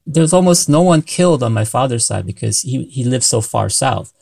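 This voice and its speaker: American man, gravelly creak, thoughtful. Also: mid-range voice, lively intonation, normal speed, darkish tone. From United States. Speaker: interviewed history researcher. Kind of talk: reflective interview talk.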